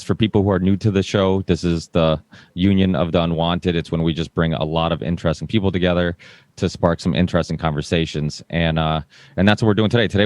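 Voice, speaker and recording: A man's voice: low-pitched, no echo. A man hosting a live panel talk show in a casual, informal and meandering way.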